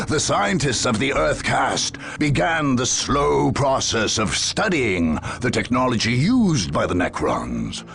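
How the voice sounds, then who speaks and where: A man playing a grave war chronicler, reading scripted video game narration in a solemn, theatrical manner. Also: mid-range voice. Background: music.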